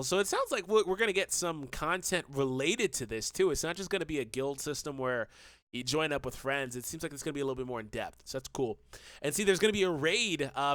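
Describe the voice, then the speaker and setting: A man hosting a gaming channel, chatting about game news with excitable, rambling energy, fillers and restarts, his voice a little stuffy from a cold. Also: medium pitch, no room sound. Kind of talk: casual gaming news commentary.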